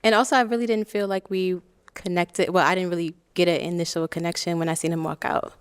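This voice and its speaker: A woman's voice: lowish voice, fairly even intonation, quick pace, smooth, no echo, studio quality. A woman in her thirties on a dating show, talking casually in a rambling way with lots of fillers and easy laughter. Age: 30s. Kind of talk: casual chat.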